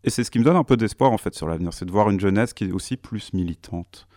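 A man's voice: lowish voice, fast, dark tone, no room sound, studio quality. Earnest man in his thirties. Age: 30s. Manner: earnest, thoughtful, with frequent hesitations and restarts. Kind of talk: radio panel discussion.

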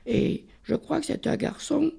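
Gravelly, husky older speaker. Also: sits high, unhurried, dark tone. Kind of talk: radio panel discussion.

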